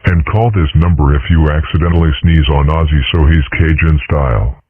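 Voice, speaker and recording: A man's voice: brisk, a little hiss or hum. A man voicing an eccentric, courteous dragon father in a cartoon comic dub, speaking in wordy, formal, drily droll sentences. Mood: courteous, dry. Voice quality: very deep, husky. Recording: muffled narrow-band phone-like sound, very dry.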